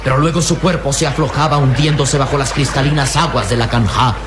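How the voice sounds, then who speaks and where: A man's voice: mid-range voice, fairly even intonation, fairly quick, background noise. A man narrating a radio adventure serial in a dramatic, scripted style. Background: music.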